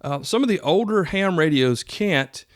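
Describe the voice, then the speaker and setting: A man's voice: high voice, expressive, unhurried, no echo, studio quality. A middle-aged man hosting a podcast, explaining things in a casual, folksy, conversational way. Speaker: podcast host. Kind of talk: casual instructional podcast talk.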